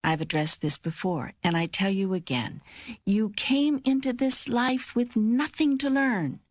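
A woman voicing God as a wise teacher in a spiritual audiobook, speaking calmly, deliberately and with assurance. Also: on the high side, dry recording. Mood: calm, assured. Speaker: audiobook co-narrator. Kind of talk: scripted narration, spiritual dialogue.

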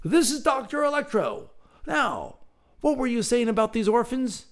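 A man doing a blustering gentleman. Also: very high-pitched, big pitch swings, moderate pace, pitched above the performer's own voice, exaggerated voice. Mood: excited. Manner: blustering exclamations.

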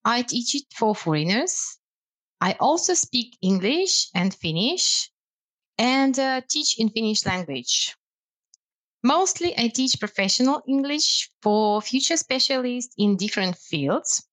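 Slow, lively-pitched woman, Russian native. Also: no echo, rough recording. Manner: measured, explanatory, with some hesitant fillers. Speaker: language lecturer and podcast co-host. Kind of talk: podcast conversation about language learning.

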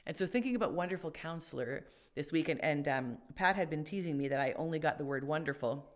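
A woman's voice: low-pitched, expressive, fast. A woman co-hosting a faith podcast, talking casually and thoughtfully, with many fillers and restarts. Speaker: podcast co-host.

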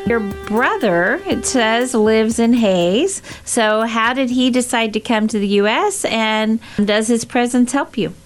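A woman interviewing a priest on the radio, speaking conversationally and thinking out loud between questions. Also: fairly high pitch, takes it slowly, hardly any pauses, faint music behind. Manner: conversational, backchannels often, thinks aloud.

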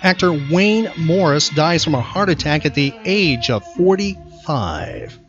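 A man's voice: on the high side, animated, measured pace. A man hosting a podcast, switching between quiz questions and casual chat. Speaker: podcast host. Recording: slightly dull audio, music behind. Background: background music.

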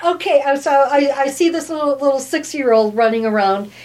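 A woman's voice: sits high, fairly even intonation, brisk, noisy background. A woman hosting a casual talk show, asking curious, eager questions of her guest. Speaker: host. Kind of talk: casual interview.

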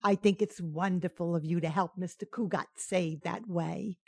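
A woman's voice: on the low side, steady tone, moderate pace, a little mellow, husky, dry recording, studio quality. A woman playing a naive but cheeky household maid in an old-time radio sitcom, with guileless comic questions and remarks. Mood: puzzled, guileless.

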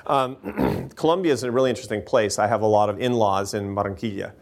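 A man's voice: fairly low pitch, fast talker, creaky, darkish tone. A man giving earnest, emphatic answers to audience questions after a talk, often restarting his sentences. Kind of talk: lecture Q&A answers.

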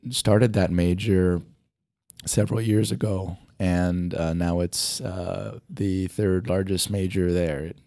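Man, middle-aged, low-pitched, mellow, dry close sound, losing his voice, rambling with fillers. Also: fairly even intonation, slow, dry recording. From Hawaii. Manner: rambling, many fillers and restarts. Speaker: motion design educator. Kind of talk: conversational interview answers.

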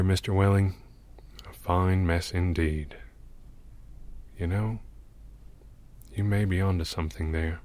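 A man playing a weary, bitter old painter in an audio drama, speaking in a grave, deliberate and brooding way. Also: low voice, darkish tone, a little hiss or hum. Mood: dry, resigned. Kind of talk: audio drama narration and dialogue.

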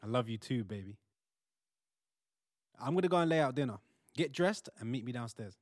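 A man's voice: medium pitch, expressive, normal speed, no echo, studio quality, close to an everyday voice. A man acting a tender, devoted young fiancé. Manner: tender, reassuring. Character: devoted, soothing young male fiancé, devout. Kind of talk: modern audio play acting.

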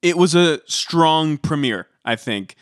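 A man co-hosting a podcast, giving measured, dry takes in casual chat. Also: fairly high pitch, lively intonation, dry recording. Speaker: podcast co-host.

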